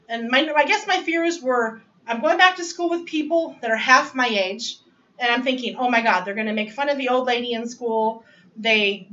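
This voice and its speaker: A woman's voice: fairly high pitch, bright, forceful tone, recorded from a distance, lo-fi sound. Chatty middle-aged woman telling her story. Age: 40s. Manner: chatty, laughs often, restarts phrases. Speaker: student giving a speech. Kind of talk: personal narrative speech. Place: home dining area.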